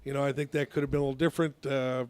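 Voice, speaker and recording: Man, youth coach, radio talk show, loud natural voice, restarts a lot, middle pitch, dry studio sound. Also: flat delivery, racing, smooth, dry recording. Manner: storytelling with many restarts, acts out dialogue. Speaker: youth softball coach and co-host.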